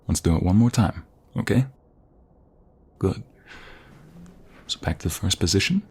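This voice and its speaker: A man playing a protective, flirtatious mobster husband in an ASMR roleplay, gravelly and husky, coaxing his partner with affection. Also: low-pitched, lively intonation, measured pace, darkish tone, heavily acted. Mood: patient, instructing.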